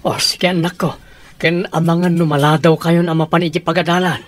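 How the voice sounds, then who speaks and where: A man's voice: a little high, little pitch movement, fairly quick, no room sound, lightly acted. An actor with an Ilocano accent playing a worried, caring middle-aged father in a radio drama. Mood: fatherly, worried.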